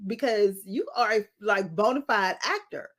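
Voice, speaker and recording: A woman's voice: medium pitch, expressive, normal speed, a bit bright. A woman hosting an interview podcast, talking in a playful, teasing, slangy way and laughing often. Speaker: podcast host. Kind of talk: casual interview chat.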